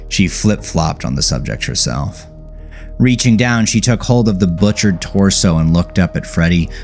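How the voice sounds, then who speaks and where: A man narrates a dark horror tale in an extremely deep voice. Gravelly fry runs through his deliberate, measured reading. Faint music plays behind him. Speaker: audiobook narrator. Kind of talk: scripted horror story narration.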